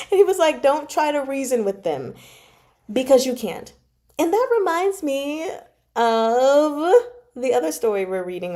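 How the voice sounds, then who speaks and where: Mellow-voiced woman in casual, animated chat. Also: high-pitched. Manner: animated, giggly, digressive, slangy. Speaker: book club vlogger. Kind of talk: casual chat retelling a novel.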